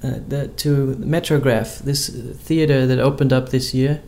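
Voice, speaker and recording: A man's voice: mid-range voice, steady tone, moderate pace, darkish tone. A man co-hosting a casual chat, talking in a hesitant way with many fillers and restarts.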